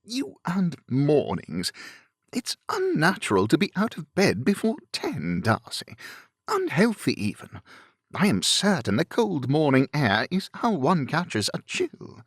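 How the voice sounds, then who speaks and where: A man voicing an amiable, fussy young gentleman in an audiobook, chatty and given to dithering rambles. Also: medium pitch, sing-song, unhurried, dry recording, clean studio sound, pitched above the performer's own voice. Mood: complaining.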